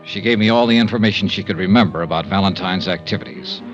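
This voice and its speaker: An actor with an American accent playing a smooth, tough but tender insurance investigator in a 1950s radio drama, with measured, clipped delivery. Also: male voice, sits low, faint music behind, lightly acted. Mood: matter-of-fact narrating. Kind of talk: 1950s radio detective drama acting and narration. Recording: dull 1950s radio recording.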